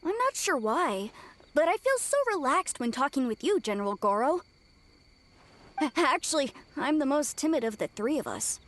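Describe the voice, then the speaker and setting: A woman's voice: sits high, big pitch swings, normal speed, a bit bright. A woman voicing a timid little childlike yokai who is polite and stammering, in an English game dub. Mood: shy. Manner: shy, stammering, deferential. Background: faint music.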